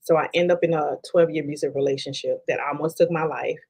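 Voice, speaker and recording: A woman in her forties from Dallas, Texas, with a Texan accent, speaking as a podcast guest in a halting way with many ums and restarts. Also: sits low, fairly even intonation, darkish tone, husky. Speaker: podcast guest, life coach. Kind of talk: conversational interview.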